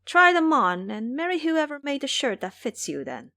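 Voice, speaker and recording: A woman storyteller plays a sly mother-in-law in an audio drama. She exclaims, chides and coaxes, with an animated, swooping delivery. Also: high-pitched, normal speed, pitched above the performer's own voice, heavily acted. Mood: chiding. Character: sly, chiding mother-in-law with three daughters.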